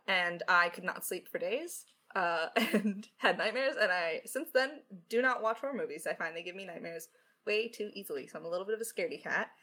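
A talkative woman speaking as a podcast writer in a casual Q&A, reflective and halting, with self-deprecating asides. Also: on the low side, bright, forceful tone. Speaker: podcast lead writer answering questions. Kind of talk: casual creator Q&A.

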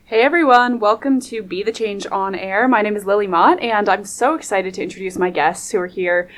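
A woman's voice: medium pitch, brisk, a little hiss or hum. A young woman hosting a student radio interview in a studio, upbeat and laughing often. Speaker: radio host.

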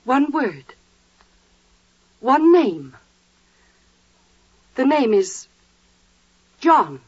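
An actress playing a fussy, bossy female relative in 1940s radio drama, brisk, insistent and expressive. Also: sits high, husky, dry recording, full character voice. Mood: astonished. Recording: muffled old 1940s radio recording.

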